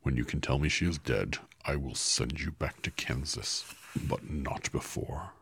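A man voicing a stern, commanding wizard who appears as a giant head, speaking slowly and heavily in a deep, husky voice. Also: a bit bright, exaggerated voice. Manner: slow, commanding, heavy.

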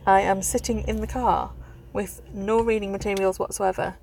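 A woman's voice: medium pitch, fairly even intonation, normal speed, gravelly, darkish tone, a little hiss or hum, poor audio. A woman from Northeast England with a Northeast English accent, chatting casually in a car with lots of hesitant ums. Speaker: vlogger doing an accent challenge. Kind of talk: casual chat. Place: sitting in a car.

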